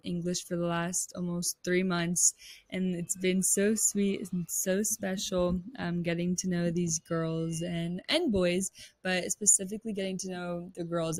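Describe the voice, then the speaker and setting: A woman's voice: on the low side, fairly even intonation, unhurried, a little mellow, no echo. A young woman from Pennsylvania hosting a podcast, chatting in a bubbly, upbeat way with lots of fillers, recorded on a close handheld mic outdoors. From Pennsylvania, United States. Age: 20s. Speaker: podcast host. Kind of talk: casual chat. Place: rooftop terrace outdoors. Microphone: large desk mic held close, headphones.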